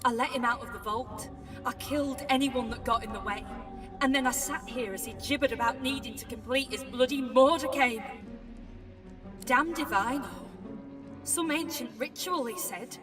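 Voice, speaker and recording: A woman's voice: high voice, normal speed, close to an everyday voice. An actress playing a remorseful, troubled woman in a video game. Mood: bitter disbelief. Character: remorseful woman who killed under mind control. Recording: slightly distant, under clear music.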